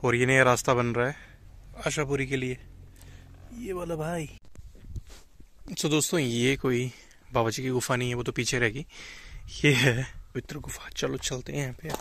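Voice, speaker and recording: A man's voice: mid-range voice, steady tone, moderate pace, pauses often, a bit bright. A young man casually narrating a travel vlog outdoors and in a small cave, talking to viewers as friends and exclaiming at the sights. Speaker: travel vlogger.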